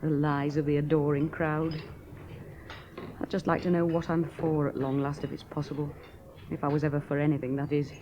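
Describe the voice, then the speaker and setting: A woman plays a tired, bitter woman aviator in a radio play, her voice set a little low. She stays brittle and cutting but keeps dropping into quiet hopelessness. A faint hiss sits under the recording. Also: flat delivery, brisk, highs cut off, natural voice. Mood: weary. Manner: brittle, sharp-tongued, sliding into quiet despair. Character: weary, bitter woman aviator in a failing marriage. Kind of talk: 1970s radio drama acting.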